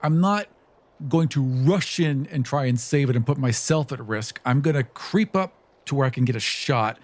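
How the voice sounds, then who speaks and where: Mid-pitched man playing a tabletop game. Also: expressive, moderate pace. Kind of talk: casual in-game narration of own character's actions. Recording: music playing behind the voice. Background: background music.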